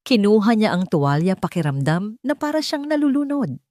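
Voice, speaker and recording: A woman's voice: medium pitch, big pitch swings, a little fast, a little mellow, no room sound, studio quality. A woman with a Filipino accent reading a dramatic scripted story as its narrator.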